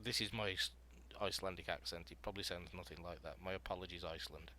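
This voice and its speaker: A man hosting a writing podcast panel, explaining prompts and telling scenes out loud in a casual, rambling way. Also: sits low, fairly even intonation, quick pace. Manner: explanatory, storytelling, filler-heavy.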